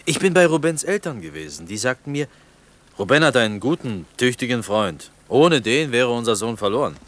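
An actor in a German radio play playing a humble, naive young village potter, hesitant and earnest in manner. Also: male voice, mid-range voice, big pitch swings, brisk, a bit bright. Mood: polite, cautious.

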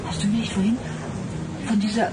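An actress playing an anxious, chatty pregnant wife in her thirties in a German radio play, expressive and full of exclamations and questions. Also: medium pitch, fairly quick, lightly acted. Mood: curious, alarmed. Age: 30s. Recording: radio play with loud music and vehicle noise.

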